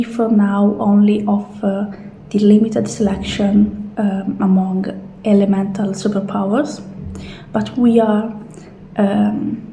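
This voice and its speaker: A woman doing a soft, partly whispered ASMR roleplay as a gentle attendant walking a client through options. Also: mid-range voice, gravelly. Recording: roomy echo, faint background noise.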